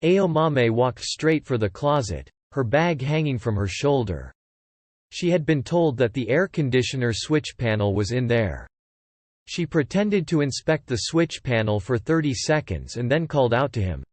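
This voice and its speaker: A man narrating fiction from a script as an audiobook narrator, in a measured, descriptive way. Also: medium pitch, expressive, darkish tone, dry recording.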